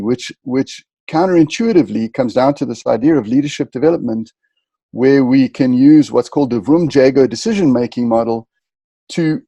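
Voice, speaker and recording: Gravelly, mellow-voiced man explaining ideas. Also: medium pitch, no echo. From southern Africa. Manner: lecturing, dense with terms. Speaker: guest, leadership consultant. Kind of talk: interview answers.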